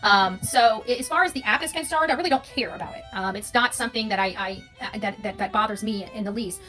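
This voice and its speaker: American female content creator, off-the-cuff opinion monologue, brisk, forceful, emphatic with repeated phrases. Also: medium pitch, faint music behind. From United States.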